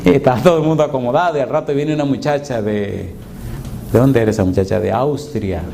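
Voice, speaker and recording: A man's voice: mid-range voice, fairly quick, gravelly, dark tone. A middle-aged male pastor telling a humorous story in a sermon, acting out his own reactions with drama. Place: church hall before a congregation. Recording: noisy live recording with audience.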